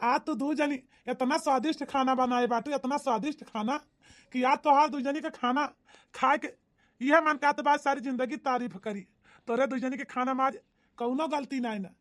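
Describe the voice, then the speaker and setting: A woman's voice: high-pitched, moderate pace, natural voice. A woman voicing plain-spoken villagers in a family comedy cartoon, with an emphatic, declamatory Awadhi-accented delivery. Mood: pleased, reassuring. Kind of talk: exaggerated cartoon comedy dialogue.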